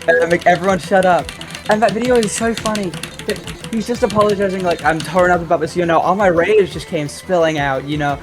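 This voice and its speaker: A mid-pitched speaker rambles through retellings in a casual chat, slipping into acted-out quotes along the way. Loud video game music plays behind the voice. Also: steady tone, a little fast. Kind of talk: casual chat over gameplay.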